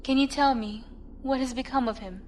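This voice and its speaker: A woman's voice: sits high, moderate pace, a little mellow, lightly acted. A woman playing a defiant, fanatically loyal starship villain in a sci-fi audio drama. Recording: echoey reverberant hall, faint noise.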